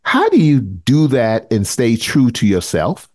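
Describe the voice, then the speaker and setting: A man in his sixties co-hosts a talk podcast and leads the interview with easy, teasing banter. His voice is mid-pitched and close, with a very dry sound. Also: wildly swooping pitch, unhurried, darkish tone, no room sound. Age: 60s. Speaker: podcast co-host. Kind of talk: interviewing a guest.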